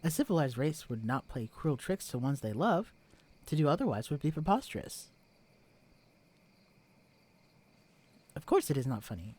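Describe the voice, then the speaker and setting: A man's voice: on the high side, a little mellow. A man voicing a stiff, formal alien posing as a husband, with stilted, pompous, overly proper diction in a scripted audio roleplay. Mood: indignant, insistent.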